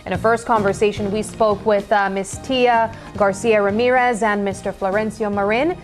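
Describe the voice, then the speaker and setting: A woman's voice: mid-range voice, steady tone, moderate pace, over music. A woman hosting a studio interview on a morning TV show, welcoming her guests.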